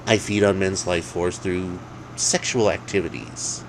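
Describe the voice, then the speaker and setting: A man's voice: low-pitched, fairly even intonation, unhurried, background noise. Casting: ancient female demon temptress played by a man, blunt, irritable, grumbling, for a comic horror story. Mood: breezy, matter-of-fact. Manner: sardonic, grumbling, matter-of-fact.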